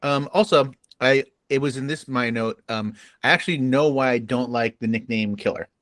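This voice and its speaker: A man's voice: medium pitch, moderate pace, fairly bright tone, dry recording. A man chatting casually and informally as a co-host on a book podcast. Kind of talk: casual chat.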